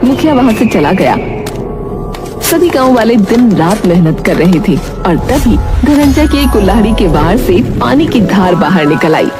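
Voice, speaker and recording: A woman narrates a cartoon story in a steady, measured voice. Her pitch is middle and ordinary, and music plays behind her. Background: background music.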